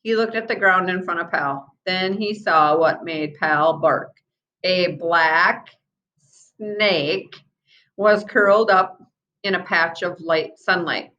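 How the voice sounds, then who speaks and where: A woman reading a children's book aloud in an expressive storyteller's manner, with small asides to her listeners. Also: on the low side, slow pace, highs cut off. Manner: expressive, acts out the children's dialogue.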